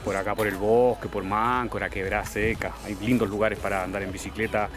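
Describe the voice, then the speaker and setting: A man's voice: fairly low pitch, steady tone, brisk. A man, a local cyclist, talking casually in an outdoor interview. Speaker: interviewed local mountain biker. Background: music.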